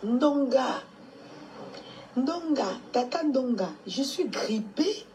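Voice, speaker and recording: A woman's voice: high voice, expressive, slow pace, dark tone, a little hiss or hum. A woman with a cold, coughing now and then, talking to her fans in a motherly, scolding way on a live stream. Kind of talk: casual live stream address.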